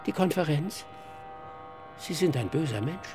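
An actor playing a mocking, morbid, cynical ship passenger in a radio drama, sneering and theatrical with a creaky voice. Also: male voice, on the high side, animated, unhurried, over music. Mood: gloating.

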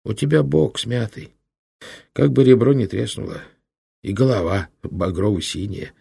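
A man voicing a gentle, talkative old male monk who lives in the forest, speaking softly and kindly. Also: fairly low pitch, lively intonation, measured pace, creaky, husky, dry recording, heavily acted. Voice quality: soft, kindly, soothing.

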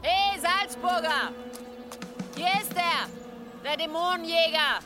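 A woman's voice: very high-pitched, slow, over music. An actress playing a commanding, defiant pagan warrior woman calling out in a German radio drama. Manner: calling out, imperious.

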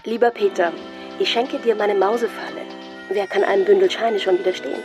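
A woman's voice: mid-range voice, fairly quick, music in the background, natural voice. An actress playing a woman who presents dangerous gifts in declarative, letter-like lines in a radio play. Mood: sweetly menacing.

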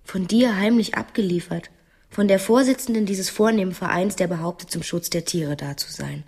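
Cunning upper-class wife, mid-pitched, husky edge, feigned naivety, hesitant phrasing, radio drama. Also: female voice, moderate pace, a little mellow. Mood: veiled threat. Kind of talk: radio play acting in dialogue.

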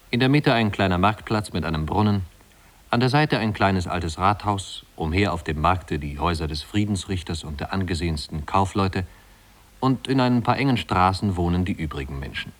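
Brief: male narrator, very low voice, wry and leisurely, looking back on small-town youth in a vintage radio play. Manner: leisurely, wry, conversational storytelling. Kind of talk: 1950s German radio drama narration.

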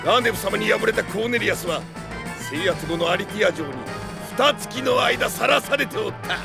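A man's voice: high-pitched, animated, moderate pace, creaky. An actor playing a brutal older enemy general in an anime-style radio drama, with sneering, archaic old-man speech. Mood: gloating fury. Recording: loud music under the voice.

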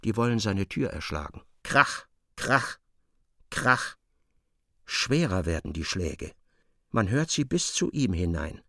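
An actor narrating a literary radio play as a grave, intense storyteller with deliberate delivery. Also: male voice, lowish voice, very animated, pushed and bright, no room sound, studio quality, exaggerated voice.

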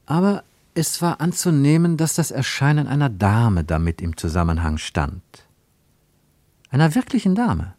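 A man narrating a literary radio play with expressive, scripted storytelling. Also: medium pitch, moderate pace, no room sound.

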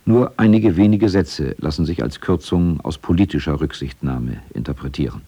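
A male narrator presents a radio documentary from a prepared script. The voice is very low, and the delivery is formal and factual. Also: moderate pace, mellow, husky.

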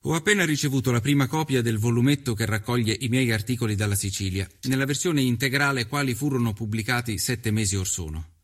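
Actor as earnest, measured 19th-century journalist. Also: male voice, medium pitch, lightly acted. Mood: reflective, somber. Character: earnest Italian journalist of the 1890s recounting his travels. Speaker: voice actor narrator. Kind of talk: scripted first-person documentary narration.

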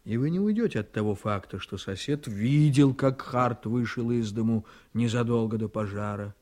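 A man's voice: mid-range voice, moderate pace, darkish tone, natural voice. An actor as a domineering, cynical political man. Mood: insistent. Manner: theatrical, rhetorical, emphatic, swinging from confiding to fierce. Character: ambitious, cynical, domineering politician prosecutor, wounded war veteran. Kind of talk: Soviet radio drama acting.

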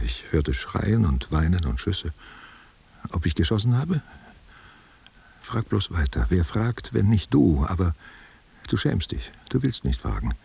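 An actor playing a guilt-haunted war veteran confessing to his lover in a halting, self-questioning monologue. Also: deep voice, creaky, darkish tone, slightly rough, exaggerated voice. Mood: accusing, tormented. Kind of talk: literary audio drama monologue.

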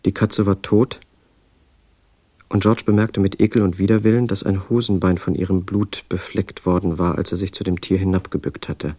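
An actor as a composed storyteller, delivering measured, suspenseful literary narration for an audio drama. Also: male voice, sits low, steady tone, warm tone, breathy edge, dry recording. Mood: grave. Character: composed male storyteller narrating a madman's life.

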